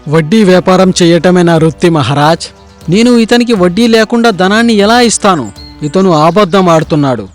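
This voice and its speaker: A self-justifying, grasping moneylender from a cartoon folk tale, acted by a man. He talks insistently in a high male voice over quiet music. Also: lively intonation, a little fast. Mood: self-righteous.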